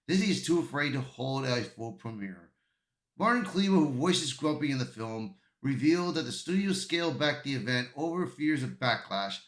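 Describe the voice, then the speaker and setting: An echoey room surrounds this man's voice, which sits at an ordinary middle pitch. He works through amateur news commentary as a host, reading aloud and reacting, often tripping over words and starting them again. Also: expressive, fairly bright tone. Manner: halting, stumbling delivery with repeated words. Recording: echoey, roomy sound.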